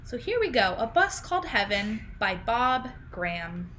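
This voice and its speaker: Female teacher, thirties, raised pitch and very slow delivery, simple storytime style for little kids, home recording. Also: sing-song, a bit bright. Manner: questioning, explaining simply to children. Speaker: teacher reading a picture book aloud. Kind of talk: storybook read-aloud for young children. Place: indoors in a home room. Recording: clear background noise, home video call.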